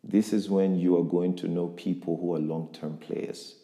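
Low male voice, mellow, motivational podcast interviewer, echoey room with long reverb. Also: steady tone, moderate pace. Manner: reflective, motivational, with frequent restarts.

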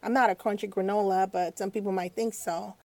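A woman hosts a casual podcast. She talks in a chatty, playful way. Her voice sits at an ordinary middle pitch. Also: fairly even intonation, mellow, dry recording. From Nigeria. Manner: chatty, playful, quick to laugh. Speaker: podcast co-host.

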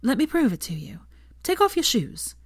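A woman voicing a caring, determined young woman in love, gentle, earnest and reassuring, in a romantic story reading. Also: high-pitched, wildly swooping pitch, moderate pace, breathy edge, no room sound, studio quality, pitched above the performer's own voice, exaggerated voice. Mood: insistent.

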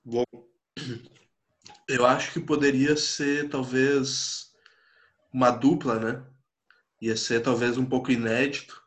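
A man on a casual friends' podcast, pausing and hedging as he thinks aloud. His voice sits at a plain middle pitch. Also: flat delivery. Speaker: podcast co-host. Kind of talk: casual chat among friends.

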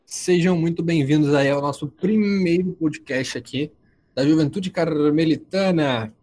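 A man's voice: on the high side, measured pace, darkish tone, a little hiss or hum. A man of twenty hosting a casual podcast from home, joking and teasing his friends. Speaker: podcast host.

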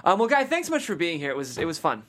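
A man's voice: a little high, wildly swooping pitch, rapid-fire, a bit bright. A man hosting a podcast in casual interview chat. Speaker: podcast host.

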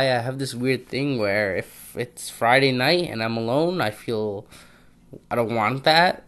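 Young guy rambling thru his day plans, chill podcast diary vibe. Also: medium pitch. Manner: rambling, thinking aloud. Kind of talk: casual audio diary.

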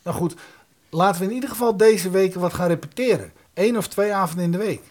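A man playing a high-voiced, eager, sociable musician. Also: quick pace, darkish tone, close to an everyday voice. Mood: coaxing. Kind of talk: radio play acting.

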